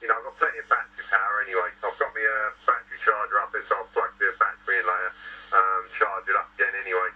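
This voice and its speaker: A man's voice: on the low side, flat delivery, racing, breathy edge. A man chatting casually as a CB radio operator, coming through a radio transmission. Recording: thin, distant phone-like CB signal.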